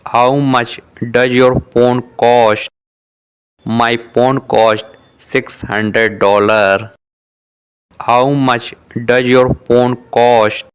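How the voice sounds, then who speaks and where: A man's voice: mid-range voice, little pitch movement, clear, resonant tone. A man reading short beginner dialogues for an English lesson, saying each exchange twice with careful articulation. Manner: measured, carefully articulated delivery. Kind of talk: scripted beginner dialogue for shadowing practice.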